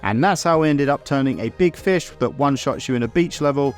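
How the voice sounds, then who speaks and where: A man's voice: medium pitch, brisk, faint music behind. A man narrating a scripted video essay in a conversational, opinionated way, with casual asides. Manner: conversational, opinionated, mildly sweary.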